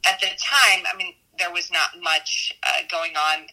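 A woman's voice: lowish voice, fairly even intonation, a little slow, sharp tone, low-quality recording. A woman, giving casual, reflective interview answers in a conversational way. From Southern California, United States. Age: 40s. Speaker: interviewee, math teacher.

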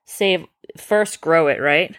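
Lower-voiced woman reading a bedtime story. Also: slow pace, a bit bright, clean tone. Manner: explaining, checking with questions. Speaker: parent reading bedtime stories to children. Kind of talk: storybook reading with explanatory asides.

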